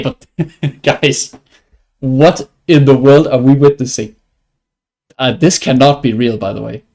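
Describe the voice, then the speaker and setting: A man's voice: mid-range voice, darkish tone. A man from Denmark with a Danish accent, chatting casually and wryly as he comments on his livestreamed gameplay. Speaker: game streamer.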